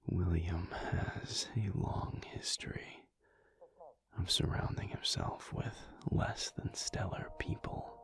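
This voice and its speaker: A man playing a calm, brooding alpha werewolf pack leader in an intimate audio roleplay, speaking thoughtfully in a breathy, gravelly near-whisper with halting pauses. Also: low voice, heavily acted. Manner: slow, halting, pensive delivery.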